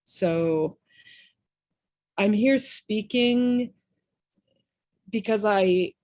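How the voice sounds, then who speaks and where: A woman giving public comment at a town meeting, earnest and halting, with many pauses and restarts. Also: mid-range voice, darkish tone, dry recording.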